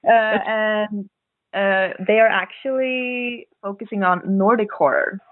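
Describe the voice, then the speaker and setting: Woman, casual podcast talk, hesitant phrasing, average pitch, narrow telephone-like sound. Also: steady tone, takes it slowly, dry recording. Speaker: guest co-host and filmmaker. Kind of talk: casual horror film chat. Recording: narrow phone-like call audio, dry.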